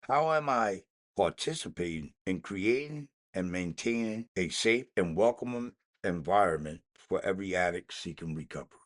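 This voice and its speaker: A man reading a daily recovery meditation aloud in a measured, slightly halting way. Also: deep voice, animated. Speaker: podcast reader.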